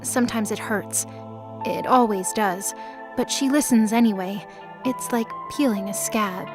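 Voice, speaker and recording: A woman's voice: mid-range voice, a little slow, over music. A woman reading scripted, dramatic third-person narration for a mythology audio drama.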